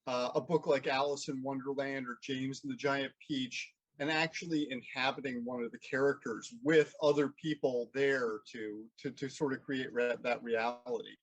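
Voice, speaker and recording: A man hosting a casual interview, asking questions in a halting way and repeating words as he forms them. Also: mid-range voice, fairly even intonation, muffled recording. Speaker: interviewer, host.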